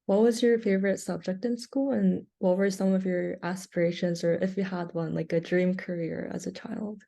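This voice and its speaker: A woman conducting an oral history interview over a video call, reading her questions in a measured way. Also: on the low side, fairly even intonation, vocal fry, warm tone.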